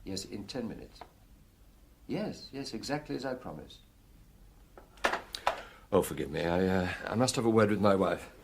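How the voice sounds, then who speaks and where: An actor with a British accent playing a seasoned, gruff middle-aged police chief in a radio drama, measured, ruminative and commanding, with a husky voice. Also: male voice, on the low side, animated, a little hiss or hum. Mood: guarded.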